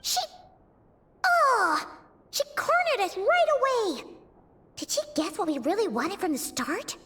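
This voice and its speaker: A woman voicing a tiny, childlike fairy companion in a video game, squeaky, cheeky and expressive. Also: measured pace, exaggerated voice. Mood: flustered, dismayed. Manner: exaggerated, expressive, third-person self-reference. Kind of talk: video game character dubbing.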